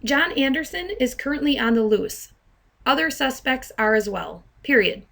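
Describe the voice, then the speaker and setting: A woman with a fairly high voice works as a software trainer, narrating a demonstration. She speaks in a deliberate, instructional way and pronounces each dictated command distinctly. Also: expressive, gravelly. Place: voice-over to a screen recording.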